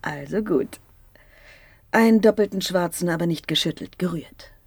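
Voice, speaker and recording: An actress playing a flirtatious married woman in a radio crime drama, coaxing and teasing, at times dropping into a breathy whisper. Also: lowish voice, normal speed, slight background noise.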